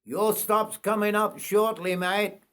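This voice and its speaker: A man's voice: high-pitched, level tone, slow, a bit bright, smooth, pitched above the performer's own voice. A man voicing a friendly, blunt train conductor in a storybook reading, with matey colloquial talk. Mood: hearty, friendly.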